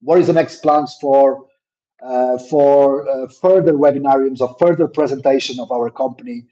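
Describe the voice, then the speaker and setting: A man's voice: normal speed, mellow. A man hosting a webinar over a video call, answering questions in hesitant full of fillers and restarts. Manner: hesitant, many fillers and repeated words; says his own voice is a little deep. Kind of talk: live webinar Q&A. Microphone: laptop mic on a video call. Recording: dull lo-fi call audio.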